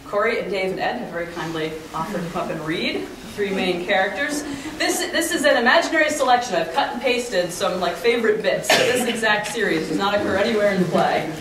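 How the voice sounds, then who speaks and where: A woman in her thirties giving a prepared talk to an audience as a conference speaker. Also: mid-range voice. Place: hotel conference room, indoors. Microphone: camera across the room. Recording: echoey meeting room, distant mic, audience noise.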